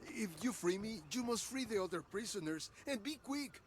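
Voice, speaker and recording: An actor playing a captive Bolivian village priest in a video game, earnest and formal. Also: male voice, sits high, animated, normal speed, slight background noise. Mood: solemn, urgent. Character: devout, captive Bolivian village priest, gentle but urgent. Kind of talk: video game cutscene acting.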